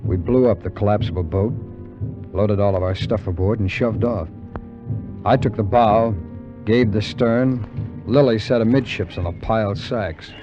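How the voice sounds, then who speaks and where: A man's voice: lowish voice, normal speed, close to an everyday voice. An actor playing a cynical, world-weary adventurer who narrates his own tale in a 1950s radio drama, with a wry, dramatic delivery. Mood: grimly wry. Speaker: narrator and lead character. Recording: muffled vintage 1950s radio recording, music behind.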